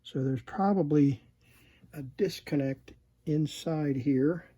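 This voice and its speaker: Slow, husky man muttering through a repair. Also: a little high, warm tone. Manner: halting, muttering to himself while working. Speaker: host demonstrating electronics repair. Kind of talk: casual unscripted workbench repair commentary.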